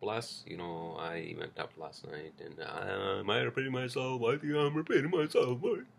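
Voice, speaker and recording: Deep gravelly man rambling casually. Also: normal speed, a little hiss or hum. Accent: non-native English accent. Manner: rambling, loose and profane, many fillers and restarts. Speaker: podcast host. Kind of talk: casual solo podcast monologue.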